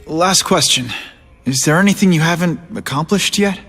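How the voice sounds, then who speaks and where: An actor playing a wry, quick young superhero in a video game cutscene, casual and a little sardonic, with music behind him. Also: male voice, on the high side, a bit bright, natural voice.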